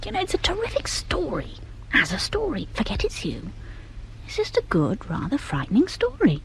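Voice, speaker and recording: A bored, privileged young woman in a radio drama. Her pitch leaps about dramatically as she teases and mocks herself with theatrical flippancy. There is faint noise behind her voice. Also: huge pitch swings, moderate pace, creaky, heavily acted. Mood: eager, scheming. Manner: self-mocking, theatrical, teasing.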